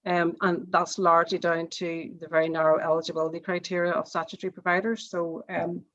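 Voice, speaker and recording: A woman's voice: on the low side, level tone, fairly quick, a bit bright, muffled recording. A woman from Northern Ireland with a Northern Irish accent, a charity chief executive introducing herself on a panel, hesitant with many fillers and restarts. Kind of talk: panel introduction on a video call.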